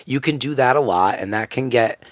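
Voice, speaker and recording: A man's voice: mid-range voice, moderate pace, no echo. A man from Rhode Island, a comedian guest with a big broadcast voice, speaking earnestly and emphatically on a casual podcast. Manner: earnest, emphatic, self-assured.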